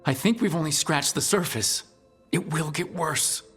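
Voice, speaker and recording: A man's voice: on the high side, moderate pace, fairly bright tone, soft background music, natural voice. Man acting a cheeky young fantasy rebel hero. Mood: serious. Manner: flippant, conversational.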